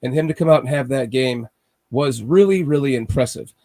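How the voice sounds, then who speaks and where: A man with a cold hosts a laid-back sports podcast, his voice a touch raspy. He talks in rambling runs, often restarting his sentences and fretting over games. His pitch sits at a middling level for a man. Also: fairly quick, mellow. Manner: rambling, restarts often, nervous fan talk. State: has a cold, slightly raspy. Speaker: podcast host. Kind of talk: casual sports podcast chat.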